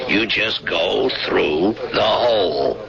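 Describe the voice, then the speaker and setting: A man's voice: on the low side, takes it slowly, gravelly, a crowd in the background, full character voice. An actor playing a pushy, mocking male smuggler boss in a 1970s radio drama. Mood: goading. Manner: coaxing, mocking. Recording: dull 1970s radio broadcast.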